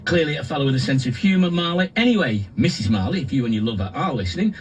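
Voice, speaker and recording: An actor playing a chatty late-night radio DJ giving breezy, jokey request-show patter in a radio drama. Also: male voice, mid-range voice, lively intonation, quick pace, slight background noise, lightly acted.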